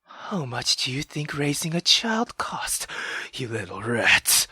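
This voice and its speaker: A woman's voice: rumbling low, sing-song, takes it slowly, sharp tone, breathy edge, dry recording, clean studio sound, exaggerated voice. A woman voicing a furious, cruel, bitter mother in a visual novel, scolding and menacing as she rants. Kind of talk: dramatic visual novel reading.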